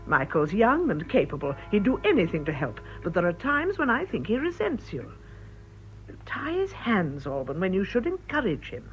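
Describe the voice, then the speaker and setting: Fussing english wife, frank and concerned, voice goes up and down a lot, muffled old radio drama. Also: female voice, medium pitch, wildly swooping pitch, normal speed. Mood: forthright, probing. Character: concerned, forthright wife of an ageing canon. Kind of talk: British radio drama acting. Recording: muffled old radio broadcast, music behind.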